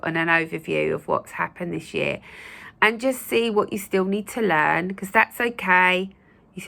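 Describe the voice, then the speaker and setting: Croaky woman, gentle channel talk. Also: fairly low pitch. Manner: gentle, reassuring, with many fillers. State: croaky voice from a cold. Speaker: spiritual channel host. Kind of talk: casual spoken talk to viewers.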